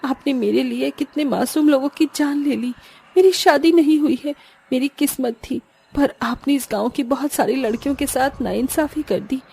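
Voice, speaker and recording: A woman's voice: sits high, normal speed, gravelly, warm tone. A woman voicing a sorrowful young bride in a cartoon story, earnest, plaintive and pleading. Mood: sad pleading.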